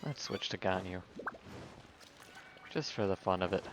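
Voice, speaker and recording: A woman's voice: extremely low pitch, animated, measured pace, noisy background. A woman giving casual live gameplay commentary as a streamer, with dry, wry asides. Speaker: game streamer. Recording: stream mic over game music.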